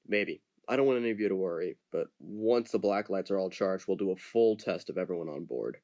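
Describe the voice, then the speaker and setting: A man's voice: sits low, brisk, a little mellow. An actor playing a wary male ship captain in an amateur audio drama, firm and questioning. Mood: explaining. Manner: firm, interrogating.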